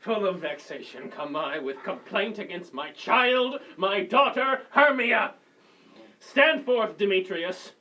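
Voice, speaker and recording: Guy doing an angry formal shakespeare dad, declaiming, high sharp voice. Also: expressive, takes it slowly, full character voice. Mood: indignant. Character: indignant, demanding Athenian father in a Shakespeare comedy. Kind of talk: live Shakespeare reading.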